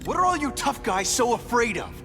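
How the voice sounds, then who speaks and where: A male actor voicing a young, quick-witted masked superhero in a video game, with a sarcastic, quippy manner. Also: extremely high pitch, big pitch swings, fairly bright tone, faint music behind, full character voice. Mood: probing, firm.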